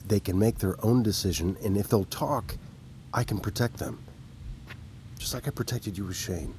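An actor playing an insistent, protective fixer in an audio drama, speaking naturally in a halting, pressing, pleading way. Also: male voice, on the low side, darkish tone, a little hiss or hum, natural voice. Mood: earnest, persuasive. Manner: halting, pressing, repeats himself for emphasis.

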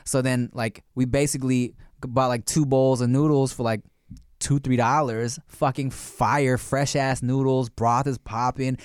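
A man's voice: mid-range voice, steady tone, unhurried, clean tone, no room sound. A laid-back man co-hosting a podcast in casual chat, often saying "bro" and "like," on a close studio mic. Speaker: podcast co-host.

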